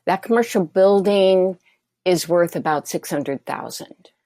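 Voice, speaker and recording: A woman in her sixties from the Pacific Northwest, calling in to a radio talk show and explaining slowly and haltingly. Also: on the low side, dark tone, no room sound, clean studio sound. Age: 60s. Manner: hesitant, halting, with fillers. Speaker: caller. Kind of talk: phone-in question on a talk show.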